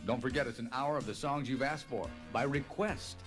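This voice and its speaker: A male radio announcer delivers a show promo in a mid-range voice. Music plays clearly behind him throughout. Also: medium pitch, moderate pace.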